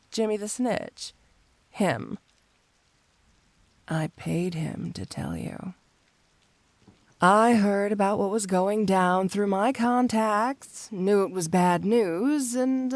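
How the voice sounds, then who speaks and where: A woman's voice: medium pitch, no room sound. A woman playing a flirty, smug supervillain cat burglar in an intimate ASMR roleplay, teasing and coaxing the listener with playful confidence.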